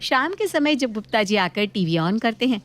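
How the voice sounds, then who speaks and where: A woman's voice: fairly high pitch, very animated, moderate pace. A woman storyteller reading scripted narration for a moral story.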